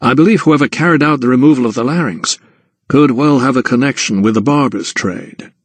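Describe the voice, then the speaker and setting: An earnest young gentleman doctor as a male narrator plays him in a storybook. He is courteous and formal, with a medium pitch and a sensitive temper. The recording is close and dry. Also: fairly quick, no echo, lightly acted. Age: young adult.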